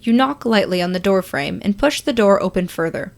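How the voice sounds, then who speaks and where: A woman reading a story aloud as expressive, scripted second-person narration. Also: mid-range voice, fairly quick, gravelly. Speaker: podfic reader.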